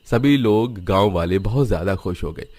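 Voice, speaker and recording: Low-voiced male storyteller. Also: expressive, moderate pace, darkish tone. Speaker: story narrator. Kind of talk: scripted storybook narration for a Hindi cartoon.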